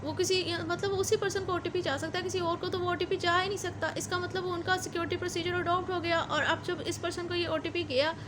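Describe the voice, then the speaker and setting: High-pitched Pakistani woman lecturing. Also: flat delivery, background noise. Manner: reads formal text slowly, then explains informally with repetition. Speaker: law lecturer. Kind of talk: explanatory law lecture.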